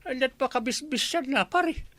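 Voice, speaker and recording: A man's voice: very high voice, expressive, moderate pace, fairly bright tone, no echo. A man playing a teasing village buddy in a radio comedy drama, with an Ilocano Filipino accent.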